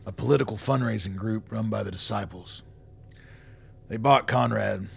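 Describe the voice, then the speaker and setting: An actor playing a weary, gruff old colonel in a radio play, with a gravelly voice and a blunt, folksy, wry way of talking. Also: male voice, lowish voice, moderate pace, exaggerated voice. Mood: wry, shrewd. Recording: noticeable background noise.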